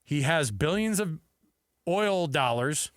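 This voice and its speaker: A male sports radio host with a slightly high voice, recorded close and dry in a studio. He argues his opinions emphatically, circling back to repeat key phrases for weight. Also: expressive, takes it slowly, no room sound.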